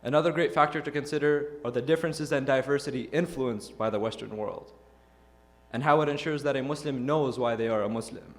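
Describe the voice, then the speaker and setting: A young man from Iraq giving an earnest, measured prepared talk to a youth audience, posing rhetorical questions. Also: mid-range voice. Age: young adult. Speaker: youth speaker. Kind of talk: prepared speech.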